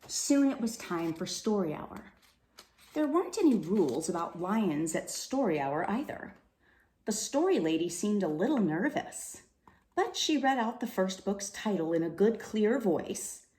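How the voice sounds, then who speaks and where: Slow, animated woman storyteller, roomy sound. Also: medium pitch. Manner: deliberate, dramatic delivery. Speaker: read-aloud storyteller. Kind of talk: expressive children's storybook reading. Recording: roomy, echoing room sound.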